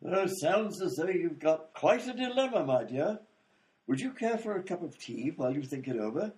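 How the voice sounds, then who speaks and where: A man playing a genial, flustered, old-fashioned gentleman with a polite, fussy manner in a comedic radio play. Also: on the high side, big pitch swings, moderate pace. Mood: politely sympathetic.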